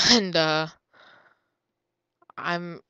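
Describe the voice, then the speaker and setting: Young woman, nasal and stuffed up from a cold, slow rambly game chat, lowish bright voice. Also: smooth, no echo. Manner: chatty, rambling, drifts into murmurs. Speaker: gamer. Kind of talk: casual gameplay commentary.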